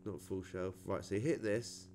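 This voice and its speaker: A man's voice: sits low, fairly even intonation, moderate pace, warm tone, a little hiss or hum. A man with a British accent giving a casual, chatty game walkthrough, thinking aloud as he plays. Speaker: gamer recording a playthrough.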